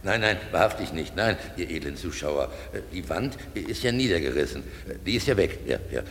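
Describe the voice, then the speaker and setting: A man's voice: expressive, fairly quick, fairly bright tone. An actor playing an eager, bumbling amateur player in a comic radio play, earnest and hesitant as he addresses his betters. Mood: eager, fumbling. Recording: hall reverb, faint noise and rumble.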